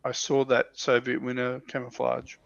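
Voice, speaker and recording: A man's voice: mid-range voice, completely flat delivery, normal speed, gravelly, highs cut off. A man chatting casually as a panelist on a livestream, talking in a hesitant way that often doubles back on itself. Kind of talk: casual chat. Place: livestream call.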